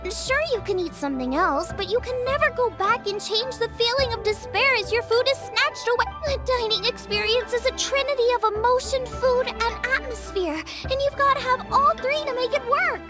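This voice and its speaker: A woman voicing a warm, earnest young girl chef in an anime-style game, girlish, heartfelt and expressive. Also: very high-pitched, normal speed, hardly any pauses. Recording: music behind the voice.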